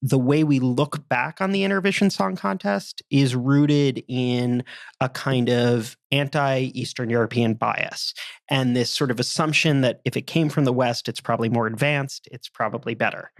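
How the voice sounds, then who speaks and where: A man co-hosting a podcast, dropping deadpan jokes in casual chat and explaining history plainly. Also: medium pitch, creaky, dry recording, studio quality. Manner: deadpan joking. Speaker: podcast co-host.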